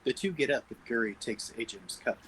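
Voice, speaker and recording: A man narrating stage directions at a script table read, with a slightly husky voice. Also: medium pitch, a little fast, a bit bright, poor audio.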